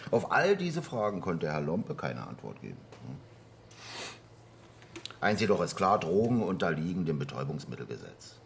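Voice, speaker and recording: Deep-voiced, sceptical conservative politician. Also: male voice, huge pitch swings, normal speed, creaky, a little hiss or hum, heavily acted. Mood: stern, needling. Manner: formal, pointed, rhetorical. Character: conservative male politician, stern, sceptical, needling. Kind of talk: parliamentary debate in radio drama.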